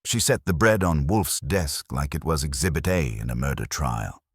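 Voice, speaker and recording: A man's voice: extremely low pitch, animated, normal speed, husky, no room sound, studio quality. A man narrating a first-person detective story in a wry, hard-boiled manner. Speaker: audiobook narrator.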